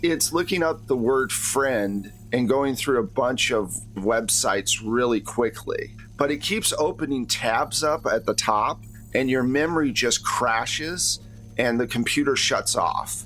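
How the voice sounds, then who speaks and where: A man's voice: mid-range voice, a little slow, fairly bright tone, a little hiss or hum, poor audio. A man narrating a tabletop roleplaying game as game master in a casual, conversational way.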